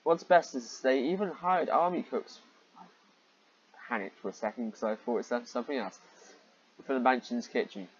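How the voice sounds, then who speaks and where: A man's voice: mid-range voice, normal speed, long pauses, husky, muffled recording. A man reading fan fiction aloud and commenting on it in a sarcastic, exasperated, sweary manner.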